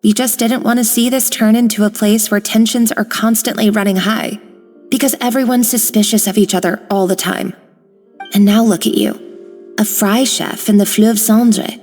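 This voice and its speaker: An actress playing a loyal young kitchen worker who speaks in an earnest, worried, outspoken way. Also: female voice, medium pitch, fairly even intonation, normal speed, darkish tone, soft background music, close to an everyday voice. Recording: reverb.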